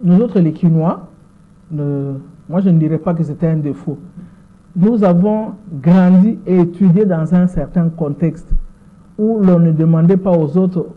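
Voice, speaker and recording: Measured Congolese woman in her sixties. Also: lowish voice. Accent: Congolese French. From Democratic Republic of the Congo. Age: 60s. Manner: measured, repeats words while searching for them. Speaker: interview guest, lawyer. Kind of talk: interview answers. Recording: tinny phone-like sound.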